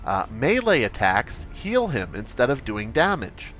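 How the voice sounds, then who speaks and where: A man's voice: mid-range voice, wildly swooping pitch, unhurried, a bit bright, faint music behind. A man playing an earnest, creepily awkward game developer who hesitates sheepishly through a parody update presentation.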